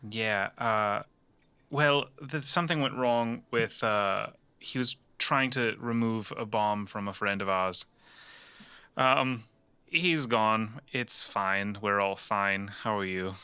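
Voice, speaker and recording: A self-important man, acted by a tabletop player with a very bright, forceful, clean low voice. He is breezy and awkwardly evasive, over faint music. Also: takes it slowly, smooth, dry recording, full character voice. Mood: forced breeziness. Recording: music behind the voice.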